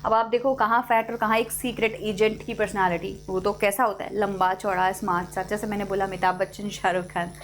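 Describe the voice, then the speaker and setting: A young Indian woman teaching an English class online, explaining in Hindi mixed with English and reading English passages slowly. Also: medium pitch, little pitch movement, soft background music.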